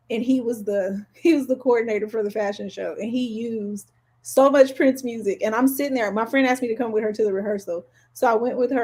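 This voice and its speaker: A woman co-hosting a podcast, chatting casually and telling personal stories in a playful, expressive way. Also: fairly high pitch, slightly rough. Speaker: podcast co-host. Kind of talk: casual chat, personal storytelling.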